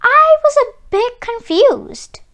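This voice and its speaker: Female children's book reader, bright and lively, theatrical storytelling with emphatic key words. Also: very high-pitched, slow pace. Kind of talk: expressive read-aloud storytelling.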